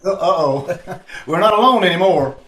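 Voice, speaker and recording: A man playing a breezy, smooth-talking crime hero in an old-time radio drama, casual in manner, on an echoey call. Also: high-pitched, lively intonation, moderate pace, a bit bright. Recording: echoey, faintly noisy video call.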